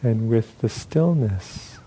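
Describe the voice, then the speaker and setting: Very slow, mellow man guiding meditation. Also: mid-range voice, animated, slightly rough, rough recording. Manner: unhurried, with long pauses between short phrases. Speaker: meditation teacher. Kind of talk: guided meditation.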